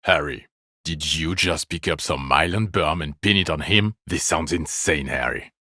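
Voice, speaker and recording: A bitter, sardonic police detective for a video game. His voice is extremely low yet forceful and sharp-edged. He is scornful and profane, recorded dry with no room sound. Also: normal speed, no room sound, full character voice. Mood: angry, accusing.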